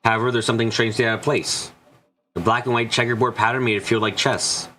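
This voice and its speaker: A man's voice: mid-range voice, steady tone, quick pace, bright, forceful tone, a little hiss or hum. A man reading scripted story narration for a visual novel.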